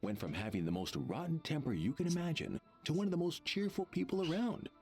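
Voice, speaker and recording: Smug, animated true believer. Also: male voice, a little high, fast talker, soft background music. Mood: confiding, insistent. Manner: condescending, confiding, emphatic. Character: smug, gossipy male true believer, condescending. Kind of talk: anime game voice acting.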